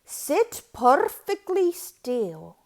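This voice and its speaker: A woman's voice: high-pitched, huge pitch swings, very slow, voice pushed up, heavily acted. A woman voicing a wicked, stern witchy substitute teacher from a storybook, giving menacing commands. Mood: stern, threatening. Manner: commanding, menacing, clipped orders.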